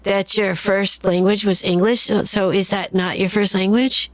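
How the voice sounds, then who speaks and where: A woman's voice: medium pitch, flat delivery, moderate pace, dry recording. A woman hosting a casual interview, asking curious questions and thoughtfully rephrasing her guest's ideas. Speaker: podcast host.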